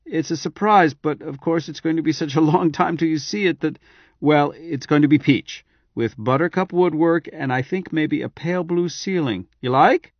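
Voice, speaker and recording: A man's voice: on the high side, no room sound, lo-fi sound, pitched above the performer's own voice. A man putting on a chattering eager wife. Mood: eager chatty. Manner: chattering, quick, affectionate. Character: warm, eager wife, adult woman, chatty.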